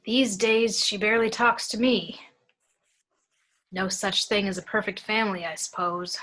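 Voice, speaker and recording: A woman's voice: medium pitch, fairly even intonation, measured pace, bright, forceful tone, low-quality recording. A woman at a home table read playing a kindly, nervous man in his seventies who runs a funeral home, polite and hesitant. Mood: apologetic, polite. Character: kindly, nervous, hushed 73-year-old male funeral director.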